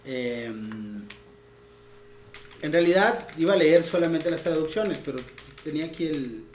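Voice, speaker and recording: A man's voice: on the high side, animated, measured pace, echoey room, slight background noise. A man leading a literary talk in a room of a house, explaining a poem and reading its translations aloud in a raised, declaiming voice.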